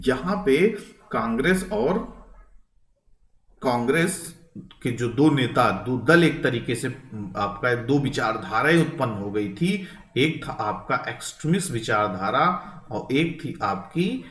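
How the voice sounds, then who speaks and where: A man giving an informal exam-prep lecture, repeating key points and checking in with rising tags. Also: medium pitch. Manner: instructive, repetitive, frequent rising check-in tags. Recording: echoey room, distant microphone.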